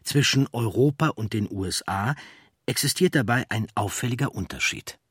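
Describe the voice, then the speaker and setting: A man's voice: medium pitch, animated, normal speed, a bit bright, breathy edge, no room sound, clean studio sound. A man reading scripted narration for a documentary audiobook.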